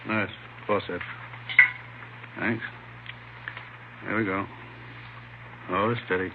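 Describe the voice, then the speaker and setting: A firm hospital doctor with a lowish voice. He is clinical and brisk in the manner of old radio drama. The recording is muffled and noisy. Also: little pitch movement, heavily acted. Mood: businesslike. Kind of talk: 1950s radio drama acting.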